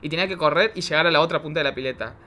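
Argentine guy chatting fast, high bright voice, campy animated storyteller. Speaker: drag queen podcast guest. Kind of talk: casual chat.

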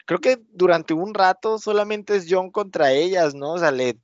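A man's voice: high-pitched, normal speed, dry recording. A man, casually recapping a TV episode as co-host, rambling with many fillers. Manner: rambling, many fillers, acts out quotes with laughs. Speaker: podcast co-host. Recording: dull lo-fi sound.

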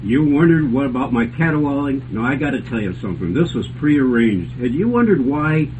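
A man's voice: mid-range voice, very animated, a little fast, a little mellow, dull audio. An older man co-hosting, in casual joking banter, recorded with faint room noise. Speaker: co-host.

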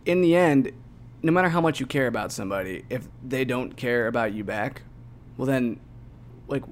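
Young male co-host, relaxed conversational delivery, lots of casual fillers. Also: mid-range voice. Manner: relaxed, uses "dude" and "like" a lot. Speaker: podcast co-host. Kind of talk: casual advice chat.

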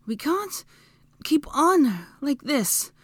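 Woman voicing a high, snippy young witch. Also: expressive, measured pace, no echo, clean studio sound. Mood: breathless, resigned. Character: bossy, exasperated young witch, sharp-tongued and defiant.

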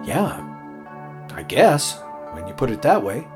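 Lively, awkward young man. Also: mid-range voice, a little slow, music in the background. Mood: wry, casual. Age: young adult. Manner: hesitant, joking. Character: awkward, self-deprecating young rookie late-night radio DJ. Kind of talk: naturalistic horror audio drama acting.

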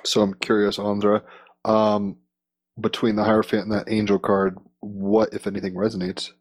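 A man's voice: lowish voice, completely flat delivery, moderate pace, no room sound. A man hosting a podcast, interviewing in an informal, rambling, conversational way.

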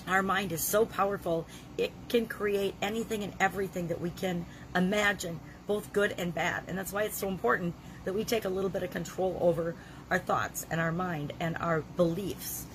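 A middle-aged woman with a gravelly voice from a cold, leading a self-help challenge in an earnest, conversational way. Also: on the low side, steady tone, moderate pace, noisy background. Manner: earnest, conversational, with frequent restarts. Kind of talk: motivational talk to camera.

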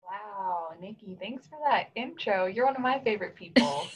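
A woman's voice: mid-range voice, lively intonation, moderate pace, breathy edge, muffled recording. A woman in her twenties, a podcast guest, telling her background casually with fillers and light laughs. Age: 20s. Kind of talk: casual conversational storytelling.

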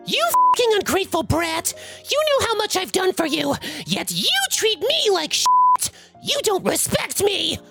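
Shrill, extremely high-pitched raging mother. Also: very animated, a bit bright, soft background music, exaggerated voice. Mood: enraged, taunting. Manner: shrill, drawn-out scolding. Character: enraged, spiteful, accusing mother berating her child. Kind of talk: acted storytime dialogue.

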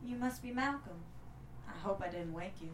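A woman playing a kind but firm Southern wife and mother of thirty in a screenplay table read, speaking gently and soothingly with a Southern accent. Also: mid-range voice, lively intonation, normal speed, close to an everyday voice. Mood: tender, soothing. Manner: gentle, soothing, clear in purpose.

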